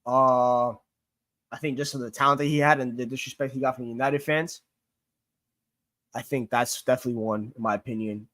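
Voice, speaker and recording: A man's voice: mid-range voice, steady tone, brisk, pauses often, a bit bright, no echo. A young man from Greece who grew up in New York and talks with a Queens accent, casually chatting while answering questions from a live stream chat, rambling with plenty of fillers and restarts. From born in Greece, raised in New York. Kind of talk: casual livestream Q&A.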